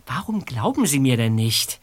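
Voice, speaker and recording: A man's voice: medium pitch, very animated, normal speed. A man playing a young detective under suspicion in a radio play, stammering and pleading. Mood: flustered.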